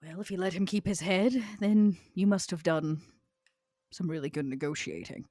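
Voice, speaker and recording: A woman's voice: on the low side, normal speed, mellow, no echo, lightly acted. A woman playing a proud, scornful old vampire in a tabletop roleplay, with haughty, theatrical, formal phrasing. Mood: dry, knowing. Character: proud, scornful old female vampire named Vera, elegant and ambitious.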